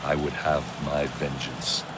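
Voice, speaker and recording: An actor playing a grim, vengeful Roman soldier, narrating solemnly in a cinematic video game. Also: male voice, extremely low pitch, level tone, a little slow, heavy background noise. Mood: brooding, resolute. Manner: solemn, brooding.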